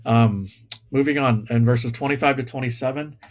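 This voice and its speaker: A man giving a sermon to his congregation over a video call, relaxed and self-deprecating, with pop-culture asides. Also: mid-range voice, moderate pace. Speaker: pastor. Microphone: video call or livestream.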